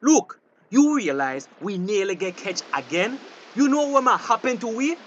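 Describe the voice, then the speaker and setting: A man's voice: high voice, sing-song, moderate pace, dull audio, slight background noise. An actor playing an anxious, honest fisherman in a drama, pleading urgently in a Belizean Creole accent. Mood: worried. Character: anxious, honest male fisherman, wary of crime. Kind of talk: naturalistic dialect radio drama acting.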